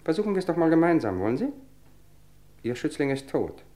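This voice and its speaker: An actor playing a smug, cultivated murderer who taunts police with measured, theatrical politeness in an old German radio crime drama. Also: male voice, a little high, very animated, dark tone. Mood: smug, mocking.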